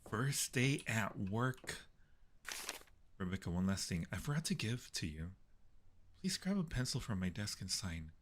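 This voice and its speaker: A man's voice: fairly low pitch, sing-song, a little slow, long pauses. A man casually commenting on a game for his stream viewers, playfully muttering to himself and reading prompts aloud. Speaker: livestream host playing a horror game. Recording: clearly noisy background.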